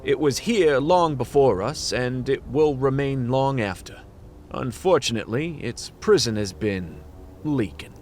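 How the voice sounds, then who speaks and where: An actor playing a world-weary fantasy detective in a noir radio play, brooding and dramatic. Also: male voice, medium pitch, animated, a little slow, a little mellow, a little hiss or hum, close to an everyday voice. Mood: knowing, grave. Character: hard-boiled fantasy detective, world-weary, knowing, dealer with demons.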